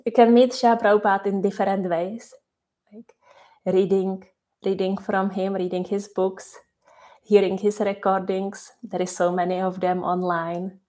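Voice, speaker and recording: A woman whose first language is Czech, guiding a meditation slowly and softly, repeating her phrases with long pauses. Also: medium pitch, level tone. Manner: slow, soft and repetitive, with many pauses. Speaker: meditation guide. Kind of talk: guided meditation.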